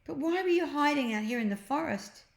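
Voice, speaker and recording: Storybook little brother, played by a woman: high, animated, mischievous and urgent, in a roomy recording. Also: a little fast, gravelly. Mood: surprised, relieved. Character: eager, mischievous young boy, bickering little brother. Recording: echoey, roomy home recording.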